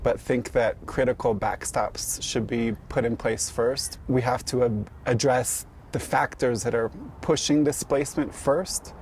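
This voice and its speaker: Measured man, nonprofit director. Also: mid-range voice, fairly even intonation, a little mellow, a little hiss or hum. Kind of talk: news interview soundbite.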